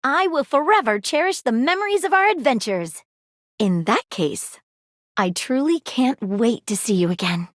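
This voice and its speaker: Keen, flirty video-game sleuth who is a magnifying glass: bright high voice, very lively melody. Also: female voice, moderate pace, dry recording, studio quality. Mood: affectionate. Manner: dramatic sleuth delivery, earnest and playful. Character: eager female detective magnifying glass, theatrical and flirty. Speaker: dating-game character.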